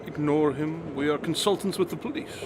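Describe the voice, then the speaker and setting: Over clear vehicle noise, a man plays a self-assured consulting detective in a radio drama. He is brisk and formal, with a voice a little high for a man. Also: close to an everyday voice.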